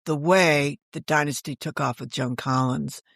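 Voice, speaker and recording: A woman's voice: deep voice, steady tone, moderate pace, creaky, a bit bright, no echo, studio quality. An older woman talking as an interview guest, telling stories conversationally and correcting herself as she explains. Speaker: guest, author and editor.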